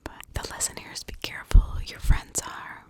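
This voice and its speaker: A woman whispering in an informal ASMR style, telling stories and giving her own opinions in a breathy, conversational way. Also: fairly quick, a little mellow. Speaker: ASMR true crime storyteller, channel host.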